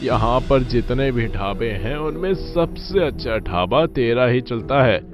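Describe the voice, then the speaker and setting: A man's voice: mid-range voice, lively intonation, a little slow, natural voice. A man voicing an outspoken, insistent village eatery owner and loyal neighbour in a cartoon tale. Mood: inquisitive. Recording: dull lo-fi track with music.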